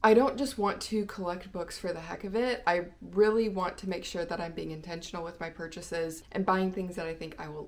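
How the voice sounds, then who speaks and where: A young adult woman with a medium-pitched voice talks in a chatty, informal vlog style. She sounds enthusiastic as she addresses her viewers as a book vlogger.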